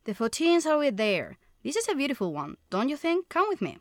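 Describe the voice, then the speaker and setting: Pushy female shop assistant played by an actress, high swooping voice, scripted sales patter. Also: huge pitch swings, fairly quick, no echo, clean studio sound. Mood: eager. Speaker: actor in listening test dialogue. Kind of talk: scripted language-test dialogue.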